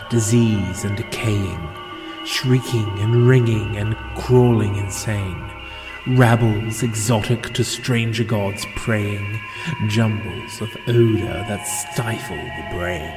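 A man giving a solemn, measured dramatic reading of a poem. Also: lowish voice, fairly even intonation, poor audio. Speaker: poetry reader. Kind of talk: slow dramatic poetry recitation. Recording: music behind the voice. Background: background music.

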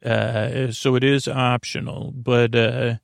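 Creaky-voiced man, rambling and close-up. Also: medium pitch, flat delivery, slow pace, no room sound. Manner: meandering, stammering, trailing off and losing his thread. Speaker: podcast host. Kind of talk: rambling, informal bedtime podcast talk to listeners.

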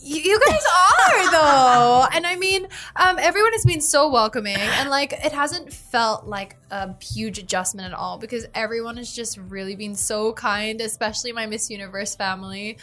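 A woman in her twenties with a light American-tinged accent, chatting brightly as an interview guest and laughing often. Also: on the high side, animated, normal speed, few pauses. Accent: American-tinged English. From Australia and the Philippines. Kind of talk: interview chat.

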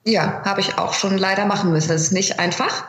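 A middle-aged woman speaking candidly and casually as an interview guest, telling things in an animated way. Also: mid-range voice, brisk. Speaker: interview guest, voice actress and dubbing director. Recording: roomy, echoing sound.

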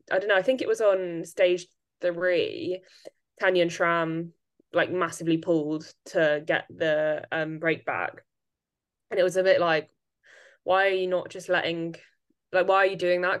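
A woman with a slightly low voice hosts a relaxed podcast conversation. She talks in an animated, rambling way, stacking up fillers and restarts as she builds her point. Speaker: podcast host. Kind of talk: casual chat.

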